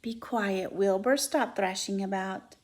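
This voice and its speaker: A woman voicing a dying female spider who speaks low, quiet and calm. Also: measured pace, lightly acted.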